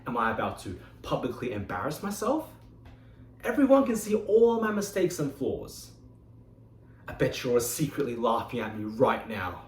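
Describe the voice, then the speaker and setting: A man's voice: mid-range voice, a little hiss or hum. Man in his twenties giving a club speech. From Australia. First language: Mandarin Chinese. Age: 20s. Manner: expressive storytelling with dramatic pauses. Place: meeting room. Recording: echoey room, mic at a distance.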